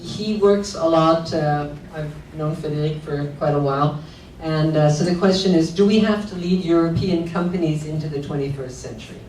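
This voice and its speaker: A woman's voice: low voice, moderate pace, darkish tone, slight background noise. A woman moderating a panel discussion, posing questions directly to the speakers. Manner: direct, prompting. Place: conference room. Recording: echoey room, voice from far off.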